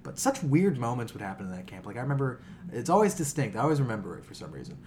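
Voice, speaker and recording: A man's voice: medium pitch, rapid-fire, slight background noise. An American man co-hosting a podcast in casual, comedic chat, telling stories with plenty of swearing. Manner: animated, profane storytelling. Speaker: podcast co-host.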